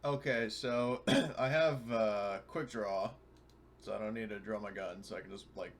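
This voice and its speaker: A man's voice: fairly low pitch, fairly even intonation, moderate pace. A man playing a tabletop roleplaying game, chatting casually and talking through his moves step by step.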